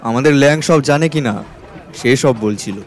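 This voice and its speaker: An actor playing a carefree, trusting uncle in a radio drama, chatting in a jovial, easygoing manner. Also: male voice, mid-range voice, normal speed, soft background music, lightly acted. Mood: amused, chatty.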